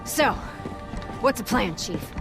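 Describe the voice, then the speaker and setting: A woman's voice: medium pitch, sing-song, slow, creaky, over music, heavily acted. A woman playing a battle-weary, no-nonsense lieutenant in a game, speaking in a curt, dry, commanding way. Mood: dry, guarded. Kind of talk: cinematic game dialogue.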